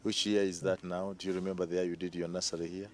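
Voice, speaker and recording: A man's voice: low voice, level tone, fast, slight background noise. A Ugandan man hosting an interview, asking probing questions and repeating the guest's answers back. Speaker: host. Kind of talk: conversational interview.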